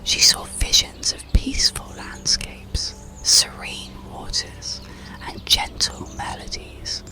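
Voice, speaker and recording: A storyteller narrating an ASMR bedtime story in a slow, soothing, hushed whisper. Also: soft background music, low-quality recording. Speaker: audiobook narrator.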